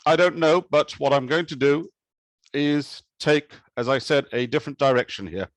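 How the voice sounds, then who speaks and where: A man from Kenya giving an academic talk with slides, speaking in a measured, thoughtful way with occasional restarts. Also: fairly high pitch, no room sound, rough recording. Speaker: conference speaker.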